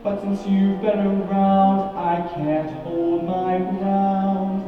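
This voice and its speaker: A man's voice: sits high, level tone, very slow, soft background music. A young male stage actor playing a bumbling, lovesick groom in a musical comedy, with goofy, earnest timing, recorded from far off in an echoey theatre. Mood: sung. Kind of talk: youth stage musical, singing. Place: school or community theatre stage. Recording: distant mic in echoey hall, dull sound. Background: musical accompaniment.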